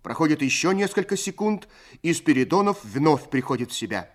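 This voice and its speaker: A man narrating a literary story for a radio play in a measured, expressive storytelling manner. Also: fairly high pitch.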